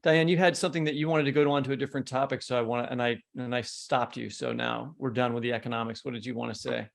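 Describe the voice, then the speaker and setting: Man hosting with a creaky voice. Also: medium pitch, fairly even intonation, quick pace. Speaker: podcast host. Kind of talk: conversational literary interview.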